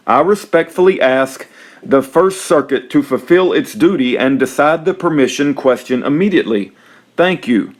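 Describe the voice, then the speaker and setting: A man making an informal, earnest and polite spoken appeal as an activist, partly reading from a script. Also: a little high. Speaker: activist urging listeners to call a court.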